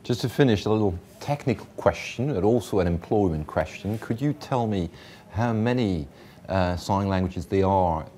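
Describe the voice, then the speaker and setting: A man's voice: deep voice, normal speed, dark tone. A man interviewing a guest, asking probing questions with some hesitant fillers.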